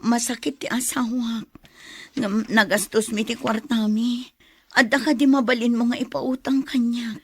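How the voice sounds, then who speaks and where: An actress playing a poor, devoted wife, earnest and pleading, in a radio drama. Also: female voice, a little high, fairly even intonation, moderate pace, breathy edge. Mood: worried, earnest.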